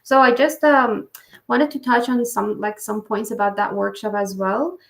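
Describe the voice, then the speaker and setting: Gravelly-voiced woman podcast host. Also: mid-range voice. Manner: warm, halting phrasing with many fillers. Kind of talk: interview conversation. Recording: echoey room.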